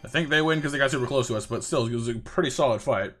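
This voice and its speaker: A young man with a slightly raspy voice from a recent cold, hosting a casual live game stream with viewers and often stammering over repeated words. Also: medium pitch, racing, over music. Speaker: livestream gaming host.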